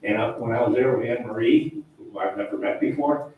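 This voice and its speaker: A man, older, a town board official talking loudly and rambling at a public meeting. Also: mid-range voice, fairly quick, phone-quality sound. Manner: loud, chatty, rambling. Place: town hall meeting room. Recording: echoey hall, distant mic, faint noise.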